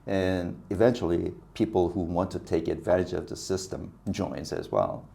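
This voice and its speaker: Man, very deep voice, animated storyteller, laughs often. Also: normal speed, gravelly. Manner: animated, laughs often, acts out quoted dialogue. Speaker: guest, business consultant. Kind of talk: casual interview storytelling.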